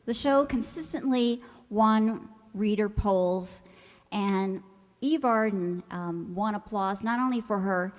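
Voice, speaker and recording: A woman's voice: mid-range voice, takes it slowly. Woman presenter speaking in an echoey hall. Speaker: presenter introducing the show. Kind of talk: informal spoken introduction to an audience. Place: hall, before an audience.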